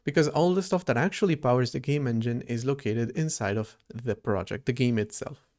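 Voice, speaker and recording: A man's voice: mid-range voice, fairly quick, a little mellow. A man streaming live while he programs, thinking aloud in a loose, rambling way and answering his chat as he goes. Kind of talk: casual livestream chat while coding.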